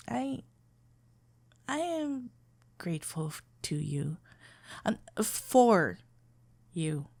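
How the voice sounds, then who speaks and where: A woman playing a shy, smitten florist best friend in a romantic ASMR roleplay, speaking hesitantly with stammers and halting phrases. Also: lowish voice, big pitch swings, a little mellow, full character voice. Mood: shy, tender. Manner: hesitant, stammering, halting, with whispered breathy moments.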